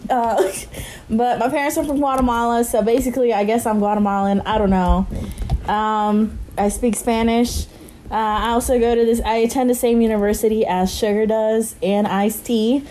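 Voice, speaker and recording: A woman's voice: fairly high pitch, steady tone, normal speed, dark tone, slight background noise, low-quality recording. A young woman from Kentucky, co-hosting a casual podcast, rambling with fillers and giggles. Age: young adult. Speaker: podcast co-host. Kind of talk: casual chat.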